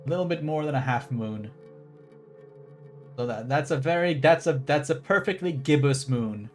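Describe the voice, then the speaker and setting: A man's voice: fairly high pitch, lively intonation, moderate pace, faint music behind. Rambling, wry male game streamer. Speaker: livestreamer playing a game. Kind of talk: casual game commentary.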